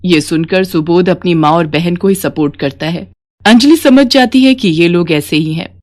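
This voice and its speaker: A woman's voice: fairly low pitch, moderate pace, a little mellow, dry recording, clean studio sound. A woman narrating a scripted moral bedtime story as a storyteller.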